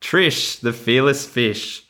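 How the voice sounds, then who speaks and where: A man's voice: mid-range voice, slow pace, sharp tone. An Australian man who hosts a podcast, talking casually and reading a children's storybook in a playful, encouraging way. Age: adult. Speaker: podcast host.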